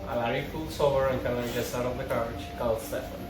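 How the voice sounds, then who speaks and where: A man's voice: medium pitch, steady tone, fast talker. Man narrating a script in an echoey room. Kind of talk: reading stage directions at a table read, with informal asides. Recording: echoey, distant mic, clear background noise.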